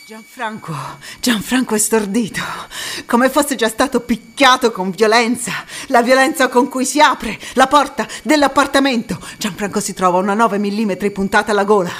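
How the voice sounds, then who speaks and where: An actress playing a young Italian partisan woman who recalls the war in an intense, deliberate theatrical monologue. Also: fairly high pitch, steady tone, a bit bright, close to an everyday voice. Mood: tense, dramatic. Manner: intense, deliberate, with dramatic pauses and repetitions. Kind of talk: dramatic theatrical monologue narration.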